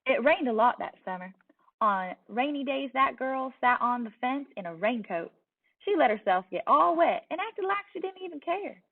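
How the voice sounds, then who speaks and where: A woman with a Southern accent reads a picture book aloud in an expressive storytelling style. Her voice sits a little high and often breaks into fry. The sound is thin and phone-like. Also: moderate pace. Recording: distant, tinny phone-like sound.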